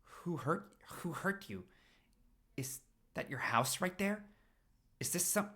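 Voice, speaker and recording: Man voicing an anxious teenage boy, hesitant, higher. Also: animated, pushed and bright, breathy edge, heavily acted. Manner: hesitant, worried, halting. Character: timid, sympathetic skinny teenage nephew, anxious. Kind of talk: acted story reading.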